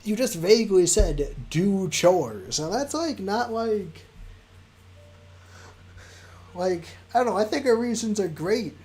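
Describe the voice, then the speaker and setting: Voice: medium pitch, animated, moderate pace, a little mellow, slight background noise. A streamer playing a visual novel, reading it aloud with goofy, joking asides.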